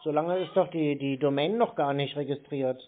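A man's voice: on the high side, normal speed. Hesitant man on a noisy phone line. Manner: hesitant, noncommittal, skeptical. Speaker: scambaiter posing as a customer. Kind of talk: casual phone conversation. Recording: noisy narrowband phone call.

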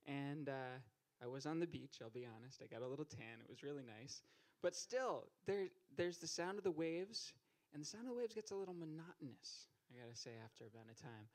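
A man's voice: on the high side, animated, fairly quick, few pauses. A young man hosting a poetry open mic on a small stage, teasing the crowd with stand-up style banter into a handheld mic. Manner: joking, teasing, rising to loud calls to the stage.

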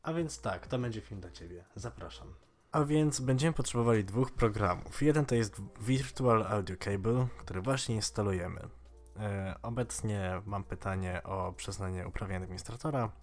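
A man in his twenties giving a casual, informal software tutorial in a slightly halting way. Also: fairly low pitch, faint music behind. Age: 20s. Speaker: tech tutorial host.

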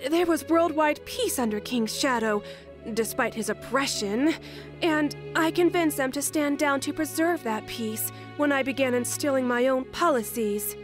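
A woman plays a squirrel queen in a cartoon dub, regal and composed. Her fairly high voice is measured and diplomatic, with faint music underneath. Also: natural voice. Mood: serious, explaining. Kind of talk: comic dub voice acting.

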